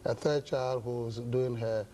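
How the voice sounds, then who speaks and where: Mellow-voiced pastor from Ghana, halting. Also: male voice, mid-range voice, steady tone, a little hiss or hum. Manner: halting, many uh fillers, measured. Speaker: pastor and guest. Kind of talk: interview talk show.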